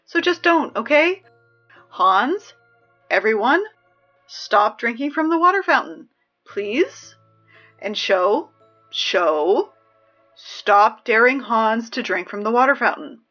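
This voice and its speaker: A flustered teenage girl gives casual school announcements in an audio drama. Her voice is high, and she rambles hesitantly and pleadingly. The sound is slightly muffled, with music underneath. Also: lively intonation, slow pace, pauses often, fairly bright tone, exaggerated voice. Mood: exasperated. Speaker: morning announcements host.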